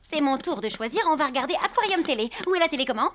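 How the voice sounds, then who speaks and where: An actor playing a small, excitable, whiny animal in a French-language cartoon dub. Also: high-pitched, quick pace. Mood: whiny, grumpy. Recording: music under the voice.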